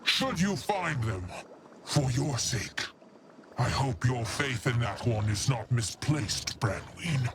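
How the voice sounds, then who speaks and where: An actor playing a stern, wise old witch matron in a fantasy game, speaking in a measured, formal way. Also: mid-range voice, lively intonation. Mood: stern warning. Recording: noisy ambience behind the voice. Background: game ambience noise.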